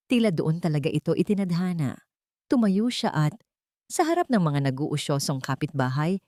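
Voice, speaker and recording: Low-voiced woman narrating romance, dry sound. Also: warm tone, no echo. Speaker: storyteller. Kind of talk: dramatic scripted romance narration.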